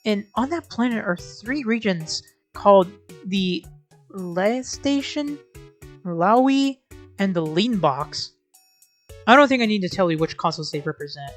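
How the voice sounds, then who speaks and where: A woman hosting a video essay, giving casual scripted commentary with wry, sarcastic asides and informal, sweary phrasing. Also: mid-range voice.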